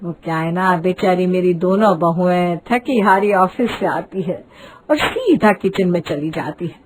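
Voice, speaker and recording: A woman's voice: lowish voice, unhurried, pitched below the performer's own voice, lightly acted. A woman voicing a boastful, dramatic middle-aged Indian mother-in-law in a cartoon moral story. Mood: sulky, wheedling. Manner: haughty, dramatic, wheedling.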